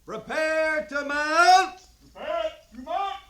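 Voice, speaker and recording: A man playing a cavalry officer in a 1950s radio western, bellowing drawn-out military commands. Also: very high voice, animated, bright, forceful tone, full character voice. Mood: commanding. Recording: echoing, noisy vintage radio recording.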